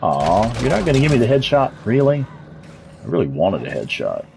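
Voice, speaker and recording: A man's voice: mid-range voice, wildly swooping pitch, normal speed, creaky, noisy background. A man with a Texas accent giving laid-back, chatty live commentary over gameplay as a streamer, answering chat and swearing casually. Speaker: gaming streamer.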